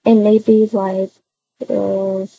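A woman improvising roleplay dialogue for a video game in a hesitant, halting way with many hums. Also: mid-range voice, little pitch movement, gravelly. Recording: dull muffled audio, faint noise.

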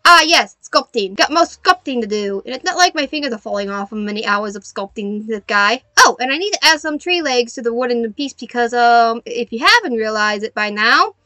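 A young adult woman narrating a craft video as host in a playful, animated, self-mocking way. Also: a little high, a little fast, few pauses, dry recording.

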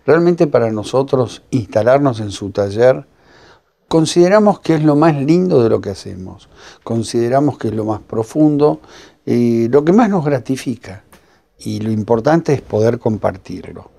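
Gravelly older Argentine host. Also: male voice, medium pitch, lively intonation, normal speed, mellow. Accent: Argentine Spanish. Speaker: TV culture show host. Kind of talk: presenting to camera. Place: library room lined with bookshelves.

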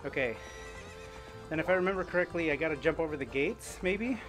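A middle-aged man streaming a game while cycling at home, chatting upbeat and thinking out loud. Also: fairly high pitch, lively intonation, a little fast, music in the background. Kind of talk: casual gaming commentary while cycling. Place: home room with indoor bike.

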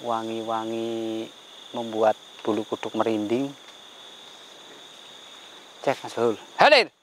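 A man's voice: on the low side, slow, slight background noise, rough recording. Man, medium on a ghost hunt, night forest, insects faintly behind. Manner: calls out to spirits, reciting prayers, calm commands. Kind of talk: night ghost-hunting vlog. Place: outdoors in dark bamboo forest at night.

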